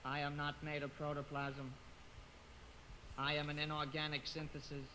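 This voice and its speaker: A man playing an immortal android in a 1950s science-fiction radio drama, speaking formally with quiet despair. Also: on the high side, normal speed. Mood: emotionless. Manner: monotone, formal. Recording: noisy old radio recording behind stream.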